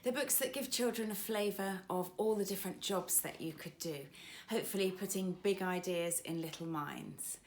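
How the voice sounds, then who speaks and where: A woman children's author reading her storybook aloud with expressive, dramatic emphasis for young listeners. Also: mid-range voice, normal speed, slight background noise.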